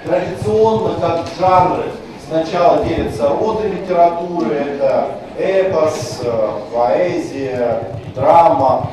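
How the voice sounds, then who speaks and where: A man lecturing from a distance in a very echoey hall, with clear noise behind him. He repeats key terms slowly and breaks into chatty asides. His voice sits slightly higher than most men's. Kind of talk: university lecture. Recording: far-off mic in an echoey lecture room with noise.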